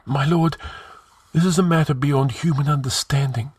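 A man portrays a fearful high priest from a fantasy tale. The voice is lifted in pitch and anxiously urgent. He speaks with trembling deference, as if guarding a secret. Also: normal speed, darkish tone, breathy edge, dry recording. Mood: fearful, confiding.

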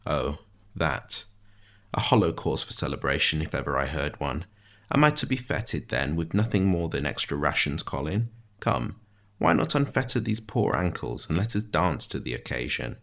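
A man's voice: extremely low pitch, very animated, normal speed, creaky, a bit bright, exaggerated voice. A man voicing a wry, precise, theatrical prisoner with a dry voice in a storybook reading. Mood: wry, mocking.

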